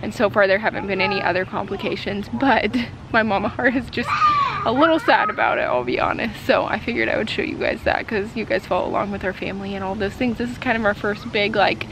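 A woman's voice: medium pitch, quick pace. Young woman vlogging outdoors over background noise. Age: young adult. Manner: conversational, frequent "like" and "you know". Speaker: homesteading family vlogger. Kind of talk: casual chat to camera. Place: outdoors in a grassy backyard.

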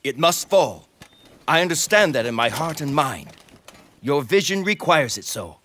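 An actor playing a loyal, earnest young warrior in a video game cutscene, speaking in a solemn, formal, declamatory way. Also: male voice, on the high side, big pitch swings, a little slow, a bit bright, a little hiss or hum. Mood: earnest, reflective. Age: young adult. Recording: background music under dialogue.

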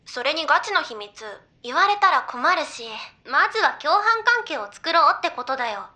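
A woman's voice: high voice, sing-song, normal speed, sharp tone, exaggerated voice. A woman playing a young female idol in a Japanese anime audio drama, talking in casual, persuasive, girlish banter. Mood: explanatory.

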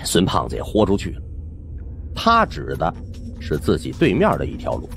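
A man's voice: low voice, wildly swooping pitch, normal speed, vocal fry, a bit bright, faint music behind. A man narrating a suspense audiobook in a colloquial, theatrical storytelling style. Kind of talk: dramatic scripted narration of a supernatural suspense novel.

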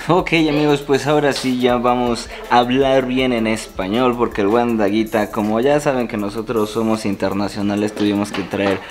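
A man's voice: medium pitch, steady tone, normal speed, few pauses. A man with a Mexican Spanish accent hosting a casual food vlog in a teasing, chatty way. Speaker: host.

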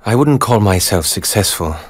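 An actor playing a reserved, courteous gentleman in an animated game, speaking in a measured, understated way. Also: lowish voice, husky, no echo. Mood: polite, modest. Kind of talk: animated game cutscene acting.

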